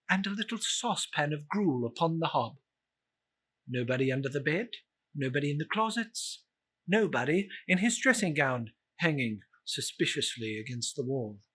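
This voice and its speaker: Bright, forceful male dramatic storyteller. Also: medium pitch, breathy edge. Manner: theatrical, suspenseful delivery with drawn-out words and emphatic swells. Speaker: storyteller reciting a classic novel. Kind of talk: dramatic reading of a Victorian ghost story.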